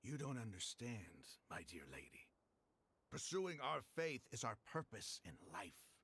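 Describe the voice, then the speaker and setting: A man's voice: mid-range voice, big pitch swings, measured pace, fairly bright tone, breathy edge, full character voice. An actor playing a proud, hostile male desert mercenary leader in a video game, speaking with scorn and drama.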